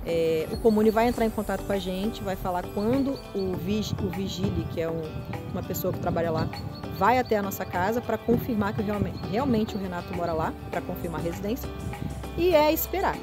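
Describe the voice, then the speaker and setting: A Brazilian woman with a Brazilian Portuguese accent talks to her viewers in a relaxed, explanatory way, stumbling and restarting as she goes. Her pitch sits in an ordinary middle range. Clear background music plays under her voice. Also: brisk. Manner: chatty, explains step by step with many restarts. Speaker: vlogger. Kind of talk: casual explainer vlog.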